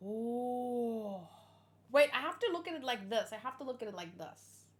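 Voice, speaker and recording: A woman giving excited, rambling reaction commentary, emotional and full of gushing exclamations. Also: fairly high pitch, normal speed. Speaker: host of a manga read-along reaction.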